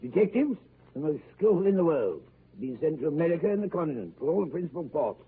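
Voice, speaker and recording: Matter-of-fact club member for a vintage radio drama, thin, lo-fi broadcast with faint hiss. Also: male voice, a little high, sing-song, fast talker. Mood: assured. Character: confident English club gentleman at cards. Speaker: actor in a radio play. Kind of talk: 1930s radio acting.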